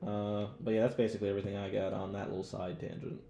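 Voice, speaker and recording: Casting: young American male podcaster, low and mellow, loose slangy delivery that rambles, roomy noisy recording. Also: flat delivery, fast. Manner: rambling, heavy use of "like" and "bro". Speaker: podcast co-host. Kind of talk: casual, slangy pop-culture chat. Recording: roomy echo, clear background noise.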